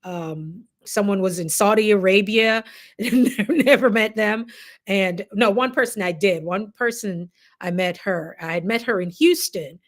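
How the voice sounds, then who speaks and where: A woman's voice: medium pitch, unhurried. A woman with a Jamaican accent hosting a casual livestream, talking directly to viewers in a conversational, encouraging way. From Caribbean, Jamaica. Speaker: livestream host, coach.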